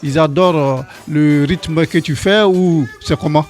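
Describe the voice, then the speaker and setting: A man's voice: a little high, unhurried, a little mellow, soft background music. A man working as a journalist, asking questions on a radio show in a hesitant way with frequent fillers and restarts. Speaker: guest journalist interviewer.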